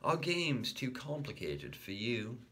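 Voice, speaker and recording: A man's voice: medium pitch, very animated, takes it slowly, bright, forceful tone, pitched above the performer's own voice, heavily acted. A man voicing an older sister playing a pretend sword fight, snarling in make-believe and talking down to her little brother. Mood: condescending. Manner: dramatic snarl, then condescending.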